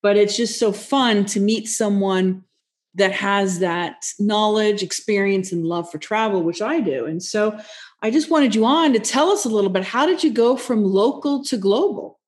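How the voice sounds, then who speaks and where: A Norwegian-born woman hosting an interview podcast, bilingual, with enthusiastic, quick exclamations. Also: mid-range voice. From Norway. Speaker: podcast host.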